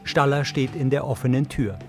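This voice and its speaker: A man's voice: medium pitch, moderate pace, darkish tone. A man reading scripted audio description of scenes in the present tense as a narrator. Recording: music bed under the voice. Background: background music.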